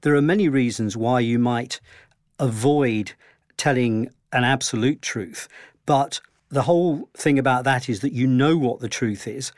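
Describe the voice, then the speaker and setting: Male interview guest, mid-pitched, measured and explanatory, dry studio read. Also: a little mellow, no room sound. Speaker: historian guest. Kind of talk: thoughtful interview answers.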